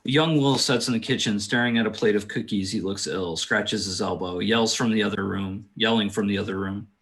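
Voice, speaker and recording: A man's voice: lowish voice, brisk, fairly bright tone. A man directing a table read, reading stage directions aloud and adding casual asides.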